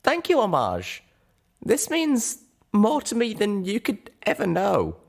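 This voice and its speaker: A man's voice: high voice, wildly swooping pitch, slow, vocal fry, voice pushed up, exaggerated voice. A man voicing an earnest, curious young female pony adventurer in an audio story reading. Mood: grateful.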